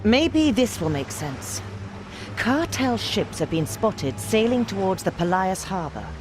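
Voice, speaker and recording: An actress voicing a stern, middle-aged resistance leader in a video game, grave and authoritative. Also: female voice, medium pitch, very animated, a little slow, background noise. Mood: grave, briefing. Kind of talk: game cutscene briefing.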